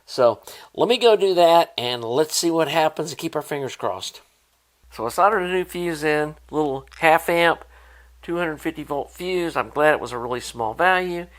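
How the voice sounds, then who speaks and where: A man's voice: fairly high pitch, lively intonation, normal speed, a bit bright. A man giving an informal, hands-on repair walkthrough in an unscripted, conversational way, like a hobbyist presenter.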